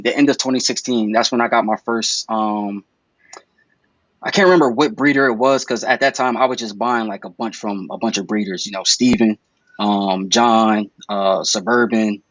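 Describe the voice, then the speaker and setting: A man's voice: mid-range voice, a bit bright, dry recording, lo-fi sound. A man in his twenties, a guest reptile breeder chatting casually on a video-call podcast, talking in a laid-back, rambling way with many fillers. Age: 20s.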